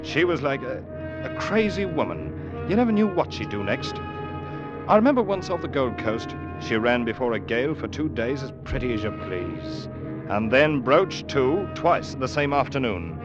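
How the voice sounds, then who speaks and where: An actor playing an earnest young seaman who narrates his past in old radio drama style, with dramatic, deliberate pauses. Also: mid-range voice, very animated, natural voice. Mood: brooding, dramatic. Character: young sailor Ned, earnest third mate, recounting his past. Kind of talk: mid-20th-century radio drama acting and narration. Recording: dull vintage radio recording, loud orchestral music.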